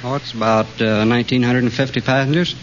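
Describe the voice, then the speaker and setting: A man's voice: mid-range voice, steady tone, fast talker, a crowd in the background. A man answering as a quiz show contestant, hesitant and dryly literal, on an old radio recording. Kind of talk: spontaneous interview answers. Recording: muffled noisy radio recording, live audience.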